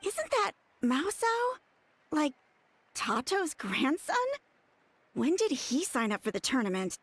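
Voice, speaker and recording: A nosy, eager young bystander from a video game. The voice is high and swoops around excitably, with a dry, close sound. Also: female voice, slow, husky, no room sound, full character voice. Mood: curious.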